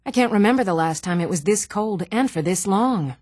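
A woman playing poised, articulate townswomen and noblewomen in a fantasy video game, with measured, formal delivery. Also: mid-range voice, expressive, a little mellow, no echo, studio quality, natural voice. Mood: conversational, concerned. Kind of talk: scripted fantasy game dialogue.

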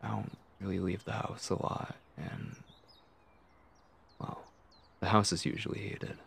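A man playing a nervous, lovestruck, obsessive young man in an intimate ASMR roleplay, stammering and muttering to himself in a breathy, husky voice. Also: low voice, fairly even intonation, a little slow, a bit bright, heavily acted. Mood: shy, apologetic.

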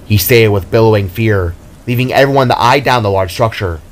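A man's voice: fairly low pitch, fairly quick. A man reading scripted fantasy fiction as narrator, in a dramatic storytelling style. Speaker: author and narrator.

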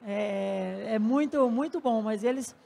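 A Brazilian man with a high voice speaks informally into a handheld microphone. He chats as a guest speaker on stage, in plain everyday language. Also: slow pace, vocal fry, darkish tone. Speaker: coffee producer and guest speaker. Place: stage at an indoor festival.

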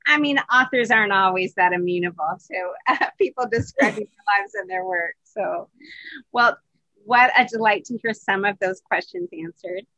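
A woman's voice: medium pitch, moderate pace, sharp tone, dry recording, lo-fi sound. A woman in her sixties hosting conversationally over a video call. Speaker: host, interviewer. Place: home office, indoors. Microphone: video call computer microphone.

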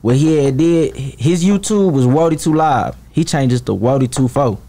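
Laid-back young man rapper, mellow tone. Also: mid-range voice, expressive, moderate pace. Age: 20s. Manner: laid-back, heavy on "you know what I'm saying". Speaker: rapper guest. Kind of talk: casual interview talk. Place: podcast studio. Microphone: studio mic, headphones.

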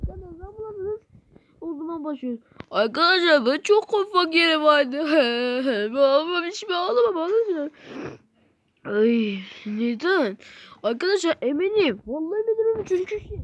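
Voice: extremely high pitch, lo-fi sound, slight background noise. A video game YouTuber giving rambling, exclamatory let's play commentary, often repeating phrases and talking straight to viewers.

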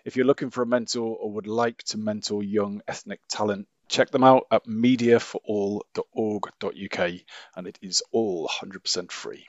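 A man's voice: on the low side, fairly even intonation, moderate pace, no room sound, lo-fi sound. A man hosting a podcast, speaking in a scripted introduction style. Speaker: podcast host.

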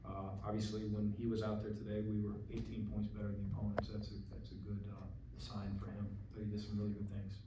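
A middle-aged man, a basketball coach answering postgame press questions, thoughtful and halting with many restarts, speaking into a podium mic. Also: on the low side, monotone, heavy background noise. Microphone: podium mic on a stand. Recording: echoey press room from a far camera.

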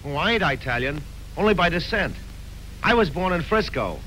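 An actor playing an easygoing, shy young ranch hand who speaks in a laconic, drawling way, in early 1930s film acting. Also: male voice, a little high, expressive, moderate pace, bright, forceful tone, rough recording. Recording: hissy early sound film.

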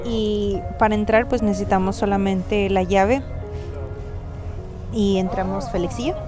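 A woman's voice: medium pitch, fairly even intonation, unhurried, over music. A woman from Mexico giving a casual, slangy walking tour and chatting informally to her viewers. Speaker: travel vlogger and host. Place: mostly outdoors, walking around an accommodation village.

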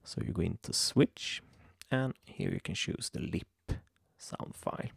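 A young man from Sweden with a Swedish accent, hesitantly walking through a software tutorial at his computer, with many ums and restarts. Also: deep voice, wildly swooping pitch, measured pace, gravelly, breathy edge, dry recording. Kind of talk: screen-recorded software tutorial. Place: home room at a computer.

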